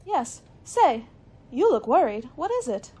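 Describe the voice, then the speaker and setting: A woman's voice: sits high, big pitch swings, unhurried, mellow. A woman playing an eager, concerned secretary in a radio mystery play, with an earnest, emotive manner. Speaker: actor in an amateur radio play. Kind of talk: radio mystery drama acting.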